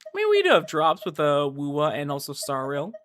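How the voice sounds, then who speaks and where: A man's voice: on the high side, huge pitch swings, brisk, fairly bright tone. A man livestreaming a game, chatting casually and giving commentary in a slangy, informal way full of filler words. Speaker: livestreamer playing a gacha game.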